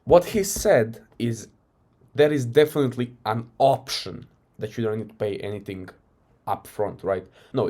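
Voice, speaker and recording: Mellow-voiced man with a Croatian accent. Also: mid-range voice. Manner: loose and conversational, often tags "right?". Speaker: sales coach. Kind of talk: casual breakdown of a recorded sales call.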